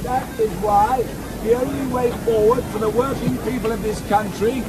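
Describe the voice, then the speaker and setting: A man's voice: very high voice, fairly even intonation, moderate pace. A man playing a bombastic Victorian socialist preaching about handmade furniture in a comedy radio play. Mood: ranting. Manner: bombastic, speechifying. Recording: radio taped to cassette, loud crowd and noise.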